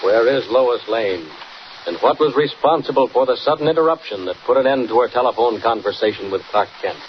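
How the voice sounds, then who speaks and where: A man's voice: medium pitch, expressive, fairly quick, lightly acted. An American man acting as announcer, narrating a 1940s radio serial with emphatic suspense. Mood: urgent, teasing. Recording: slightly noisy, muffled 1940s radio recording.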